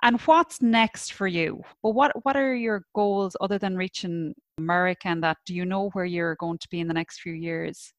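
A woman's voice: lowish voice, steady tone, moderate pace, hardly any pauses, no echo. Encouraging woman interviewer. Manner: encouraging, affirming, frequent praise. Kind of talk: interview host.

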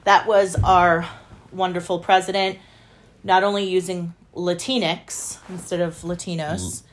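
Female podcast co-host, lower-leaning pitch, playful and chatty, conversational delivery. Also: level tone, measured pace. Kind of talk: casual chat.

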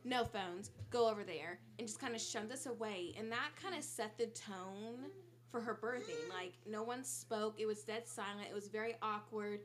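A woman's voice: mid-range voice, normal speed. A woman in her early twenties co-hosting a casual podcast, talking in a friendly, rambling way. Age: 20s. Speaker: podcast co-host. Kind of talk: casual chat.

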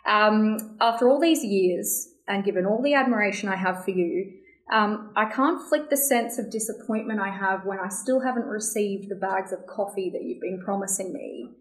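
A woman's voice: mid-range voice, normal speed. A woman hosting an interview podcast, speaking in formal, carefully worded phrases as she introduces and questions a guest. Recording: roomy, echoey sound.

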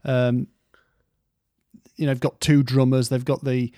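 A man's voice: mid-range voice, little pitch movement, unhurried, mellow, no echo, studio quality. A man co-hosting a casual music history podcast, rambling through stories in a playful way.

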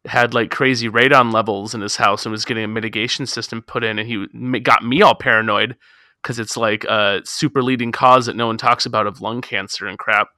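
A man's voice: mid-range voice, steady tone, a little fast, pushed and bright, no room sound, studio quality. A man in his thirties on a casual podcast chat, rambling and self-deprecating, recording in his home garage. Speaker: podcast co-host.

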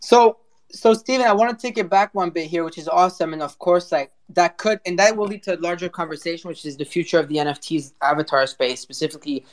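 A man's voice: on the high side, fast. A man hosting a casual live voice chat, talking in an informal, rambling way and cutting into his own sentences. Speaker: host.

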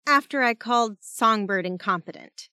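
A woman voicing a frustrated young woman hacker in an audiobook, sharp and indignant. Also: on the high side, normal speed, clear, resonant tone, no echo, clean studio sound. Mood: defensive.

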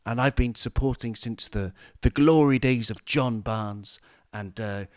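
A man's voice: medium pitch, a little slow, a little mellow, clear, resonant tone, no echo. Host in his late 30s, playful and wordy, dry sound. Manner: playful, florid, stumbling restarts. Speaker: podcast host. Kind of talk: casual podcast chat.

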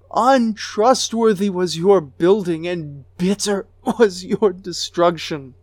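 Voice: medium pitch, a little mellow, no echo, close to an everyday voice. A reader voicing a grieving goddess queen of a ruined city, lamenting with a voice that breaks into sobs. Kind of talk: dramatic recitation of an ancient lament.

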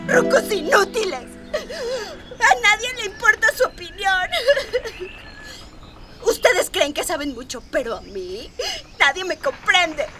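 A woman's voice: very high-pitched, heavily acted. A woman playing a spoiled, haughty young princess in a comic radio play, whiny, imperious and dramatic. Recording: distant miking with music underneath.

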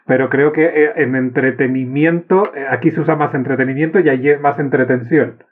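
A man from Chile with a Chilean accent, hosting a casual conversation and asking curious, relaxed questions. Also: mid-range voice, brisk. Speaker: podcast host. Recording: tinny phone-like line.

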